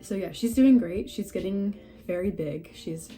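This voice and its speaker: A woman's voice: mid-range voice, moderate pace, dark tone, soft background music. A young woman with a cold, sounding stuffy and a little scratchy, chatting casually and rambling from her home in a vlog update. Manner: rambling, chatty, self-deprecating, laughs often. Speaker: horse breeder vlogger. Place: at home among houseplants.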